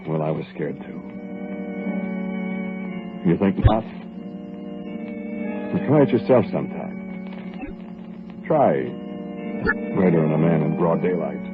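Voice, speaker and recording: A deep, hard-edged robber on the run tells his story straight to the listener. He talks in gruff, clipped phrases in an old 1940s radio play, heard through a thin, tinny broadcast with music underneath. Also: expressive, slow, long pauses. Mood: bitter. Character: hard-bitten male robber on the run, tense and curt. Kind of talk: 1940s radio drama acting with narration.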